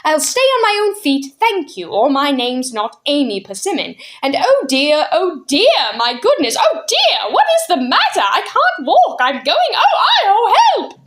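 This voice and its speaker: A woman voicing a prim, fussy older landlady who sounds shrill and scandalised. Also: wildly swooping pitch, unhurried, heavily acted. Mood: panicky.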